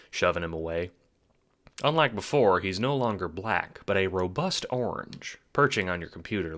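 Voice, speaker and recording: A man's voice: sits low, fairly bright tone, slight background noise. A man giving wry, profane second-person narration for an audio drama.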